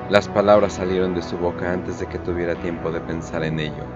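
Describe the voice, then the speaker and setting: A low-pitched man narrates a dark war story in a solemn, deliberate manner. Music plays clearly behind his scripted, dramatic reading. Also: steady tone, muffled recording.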